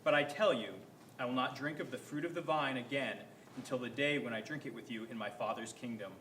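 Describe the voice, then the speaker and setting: A man's voice: medium pitch, brisk, a bit bright, lightly acted. A man acting a grave Jesus in an echoey room. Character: Jesus, grave and sorrowful male teacher. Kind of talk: reader's theater scripture reading. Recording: echoey room, faint background noise.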